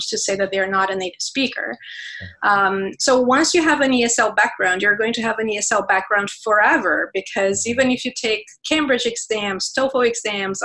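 Woman, Portuguese-native, passionate interview guest who restarts her sentences; mid-pitched voice. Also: a bit bright, poor audio. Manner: passionate, many restarts and fillers. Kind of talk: conversational interview.

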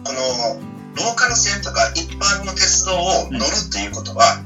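A man's voice: high-pitched, very animated, moderate pace, sharp tone. A man explaining his ideas eagerly in a casual radio chat. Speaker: podcast guest, scholar. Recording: roomy, distant mic, music behind.

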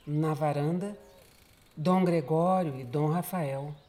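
A woman with a Brazilian accent gives solemn, measured, dramatic narration for a radio soap opera. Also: sits low, mellow, slight background noise. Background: music.

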